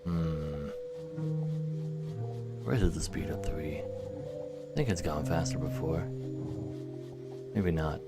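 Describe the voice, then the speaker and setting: A man's voice: a bass voice, takes it slowly, long pauses, loud music behind the voice. A man livestreaming a game, mixing muttered commentary with dramatic readings of the game's text. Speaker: live streamer. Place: indoors, livestream with game music behind.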